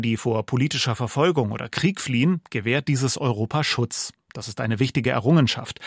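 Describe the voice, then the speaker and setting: A man reading out newspaper commentary in a measured, formal way. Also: medium pitch, no room sound, clean studio sound. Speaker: reader of press commentary. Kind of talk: formal reading of press quotes.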